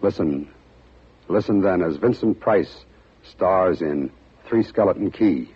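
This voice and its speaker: A man hosting a 1950s radio drama, giving a scripted introduction in a husky voice with a measured, portentous manner. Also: low voice. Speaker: radio drama host and producer. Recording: muffled old radio recording.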